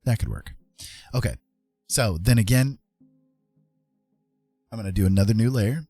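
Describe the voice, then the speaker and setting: A man chatting casually on an art livestream, thinking aloud in a rambling, halting way as he works. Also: lowish voice, dark tone, no echo. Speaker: livestream host, digital artist. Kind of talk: casual chat while drawing on a livestream. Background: background music.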